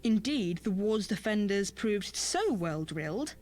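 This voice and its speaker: Lord's dry-witted servant woman, deadpan, formal, mid-pitched, fantasy game. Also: a little slow, soft background music. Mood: dryly mocking. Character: dry, sardonic female retainer to a lord.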